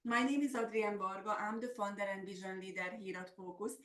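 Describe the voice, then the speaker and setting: A woman's voice: mid-range voice, steady tone, fairly quick, a bit bright. A middle-aged woman with a Hungarian accent, presenting a semi-scripted business webinar as a coach. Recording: roomy, echoey sound.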